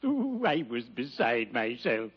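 An actor playing a gloomily courteous, pompous undertaker in old-time radio comedy, with formal, mournful diction. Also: male voice, medium pitch, big pitch swings, slow, breathy edge, full character voice. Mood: solemn, droll. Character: gloomily courteous, pompous male undertaker fond of morbid puns. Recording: noisy, dull vintage radio recording.

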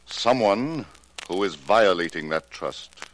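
Deep-voiced, formal phony seer. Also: male voice, animated. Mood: mysterious, solemn. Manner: formal, measured, theatrical. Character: suave, mercenary male fake crystal-ball seer. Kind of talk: 1940s radio drama acting. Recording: noisy, clicking old radio transcription.

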